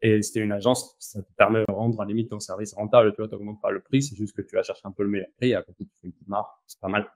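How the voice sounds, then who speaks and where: A man with an Argentine accent, talking casually as an interview guest, relaxed and slangy, with frequent fillers and restarts. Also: lowish voice, racing, hardly any pauses, no room sound. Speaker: guest, company founder.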